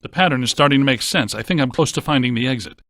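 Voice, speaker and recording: Actor as deadpan brainy ghost-hunting scientist man, dry recording. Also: medium pitch, fast talker, no echo, close to an everyday voice. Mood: deadpan, analytical. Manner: deadpan, precise technical wording. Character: brainy deadpan male scientist ghost hunter in a video game. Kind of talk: scripted video game voice acting.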